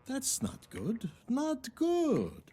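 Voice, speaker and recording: An actor playing a smug, sly loan shark in a video game cutscene, talking slowly and mockingly. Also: male voice, wildly swooping pitch, dark tone, husky, faint music behind, exaggerated voice. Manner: slow, mocking, theatrical, with swooping pitch.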